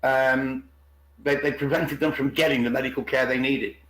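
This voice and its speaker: Halting man on an echoey call. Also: mid-range voice, fairly even intonation, fairly bright tone. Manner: halting, repeats words and restarts often. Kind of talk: unscripted opinionated discussion. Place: indoors on an online group call. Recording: roomy, echoing online call.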